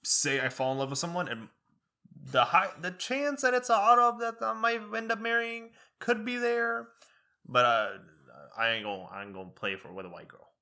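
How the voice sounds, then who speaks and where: A man's voice: high voice, animated, moderate pace, pushed and bright. A young man co-hosting a podcast in casual chat, rambling and restarting his sentences, joking loosely, with Arabic as his first language. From United States. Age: young adult. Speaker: podcast co-host.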